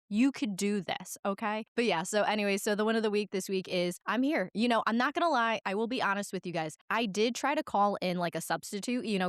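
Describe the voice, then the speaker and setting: A woman's voice: mid-range voice, clear, resonant tone, no echo, clean studio sound. Chatty sick woman hosting a podcast. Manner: chatty, rambling, self-deprecating. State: sick, voice cracking. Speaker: podcast host. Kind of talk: solo podcast monologue.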